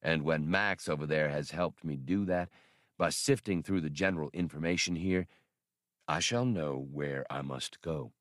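A man voicing a courteous, grave older scholar in an audiobook, with formal, deliberate wording. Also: extremely low pitch, a bit bright, no echo, clean studio sound. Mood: assured. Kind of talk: audiobook character dialogue.

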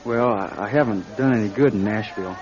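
An actor playing a young drifting country singer in a radio drama, plainspoken, folksy and halting. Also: male voice, lowish voice, close to an everyday voice. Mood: hesitant, low-key. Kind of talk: radio drama acting with first-person narration. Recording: slightly dull radio recording. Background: music.

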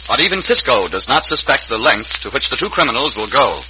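A man's voice: medium pitch, very animated, a little fast, low-quality recording. A man with an American accent narrating a thrilling old-time radio serial in a dramatic announcer style. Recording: vintage radio recording. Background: music.

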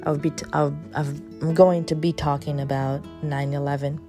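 A middle-aged woman from Brazil, a native Portuguese speaker with a Brazilian accent, hosting her podcast and telling a personal story in an earnest, halting way full of fillers. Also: low voice, flat delivery, over music. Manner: earnest, halting with frequent fillers and soft laughs. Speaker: podcast host.

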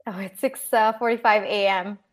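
A woman's voice: mid-range voice, steady tone, normal speed, a bit bright. A young woman from Singapore telling her own story as an interview guest, chatty, upbeat and easy-going. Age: young adult. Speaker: podcast guest, young entrepreneur. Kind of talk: conversational personal storytelling interview.

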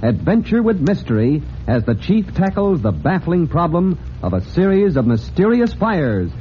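A man's voice: fairly high pitch, big pitch swings, normal speed. A man narrating an old-time radio serial as its announcer, with dramatic, earnest scripted delivery. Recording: dull, noisy old radio recording.

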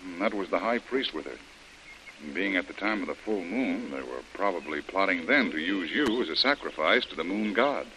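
A man's voice: sits low, expressive, moderate pace, pushed and bright. A man playing a shrewd, commanding adventurer hero in a vintage radio drama, explaining things emphatically. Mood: grave, emphatic. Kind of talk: 1940s radio drama acting. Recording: noisy old radio broadcast recording.

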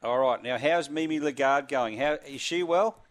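A man's voice: medium pitch, moderate pace. A man with an Australian accent hosting a radio racing segment, chatty and jokey. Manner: chatty, jokey, laughs easily. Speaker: radio host. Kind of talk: radio sports interview.

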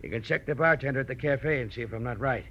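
An actor playing a shifty, hard-drinking American crook in 1940s radio style, rough and slangy. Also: male voice, mid-range voice, fast, close to an everyday voice. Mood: defensive, menacing. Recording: dull, muffled old radio recording.

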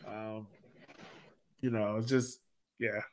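A man's voice: on the low side, flat delivery, takes it slowly. A man hosting a sports podcast, chatting in an informal, colloquial way. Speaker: podcast host. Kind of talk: casual sports podcast chat.

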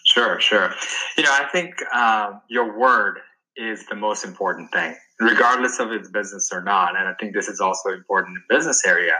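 Bright-toned man from Sri Lanka. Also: lowish voice, brisk, rough recording. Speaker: guest, wellness coach. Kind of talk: interview answers.

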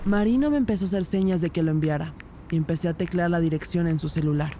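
A woman playing a police investigator who narrates her case in a measured, descriptive way. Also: lowish voice, mellow, a little hiss or hum, close to an everyday voice. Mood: measured narration. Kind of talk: scripted first-person narration.

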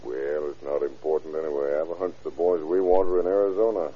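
Tough lawman, very low voice, clipped, authoritative, vintage radio crime drama, muffled recording. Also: fairly even intonation, quick pace, breathy edge. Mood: businesslike. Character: terse, tough male police investigator.

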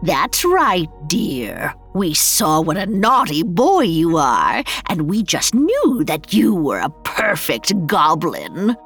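A woman's voice: on the low side, huge pitch swings, slow, few pauses, fairly bright tone, husky, soft background music, full character voice. A woman voicing a regal, grandmotherly old goblin queen in a sweet, coaxing storybook manner. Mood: sweetly coaxing.

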